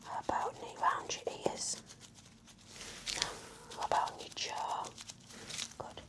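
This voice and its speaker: A woman whispering in a gentle, soothing, encouraging way as she role-plays an attentive nurse for ASMR. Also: unhurried. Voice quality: breathy whisper. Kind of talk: whispered ASMR medical exam roleplay.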